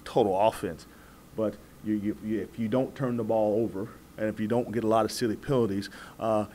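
An American man in his forties, a football coach answering press questions in a measured, halting way, repeating words as he goes. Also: on the low side, a little mellow, slight background noise. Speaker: college football head coach. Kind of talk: postgame press conference answers. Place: indoor press room at a table before a backdrop. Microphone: several press microphones on the table.

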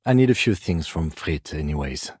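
An actor playing a weary, dry, by-the-book police detective in a video game, speaking in a measured, restrained and formal deadpan. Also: male voice, extremely low pitch, husky, no room sound, full character voice. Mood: dry, composed.

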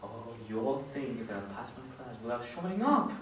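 A man's voice: on the high side, big pitch swings, a little slow. Here is a man acting a spiteful male schoolteacher from a mockumentary drama. He is theatrical and menacing, with widely swinging intonation. The sound is noisy and distant from the mic. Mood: gloating. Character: gloating, vindictive male schoolteacher, older, theatrical villain. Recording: roomy, noisy, off-mic amateur recording.

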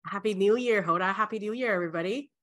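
A woman's voice: medium pitch, quick pace, fairly bright tone. A middle-aged woman from Hong Kong who grew up partly in Canada, answering interview questions conversationally as a guest. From born in Hong Kong, in Canada from age ten. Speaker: interview guest, career educator. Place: small bright room at home, video call.

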